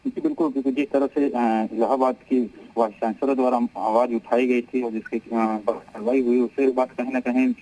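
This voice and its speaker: Male field correspondent, mid-pitched voice, reporting live and unscripted with hesitant repetitions. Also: fairly even intonation, moderate pace, hardly any pauses, warm tone, low-quality recording. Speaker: news correspondent.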